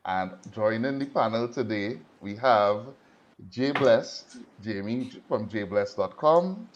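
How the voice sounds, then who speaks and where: Laughing host on lo-fi call. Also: male voice, on the low side, animated, slow. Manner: laughing, loose, repeats himself. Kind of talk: hosting a casual video panel. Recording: dull lo-fi call audio with faint noise.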